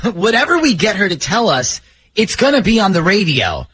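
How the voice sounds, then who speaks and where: A male American radio host with a high voice that swings widely in pitch. He is chatty and joking, moving easily between banter and phone interviewing, with a faint music bed behind him. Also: sing-song, moderate pace, pushed and bright, low-quality recording. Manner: joking, talkative. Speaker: radio host running a prank call segment.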